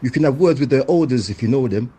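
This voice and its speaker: Man doing a raspy, mocking lad. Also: medium pitch, animated, quick pace, mellow, pitched above the performer's own voice.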